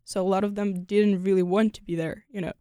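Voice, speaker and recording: A woman's voice: medium pitch, steady tone, brisk, warm tone, clean tone, no echo. Young Ukrainian woman, chatty and dry. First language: Ukrainian and Russian. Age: young adult. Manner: chatty, many fillers like "like" and "you know". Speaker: guest. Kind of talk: casual interview conversation.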